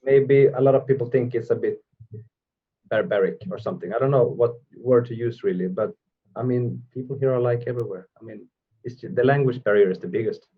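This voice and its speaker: A man, a guest talking in a casual interview, halting and thoughtful, often restarting his phrases. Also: mid-range voice, husky, phone-quality sound. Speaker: guest musician. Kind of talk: casual video call interview.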